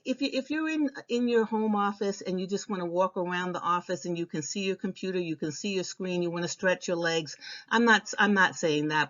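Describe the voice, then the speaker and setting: A woman giving an informal instructional presentation in a conversational way, repeating advice for emphasis. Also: medium pitch. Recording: dull, lo-fi voice recording.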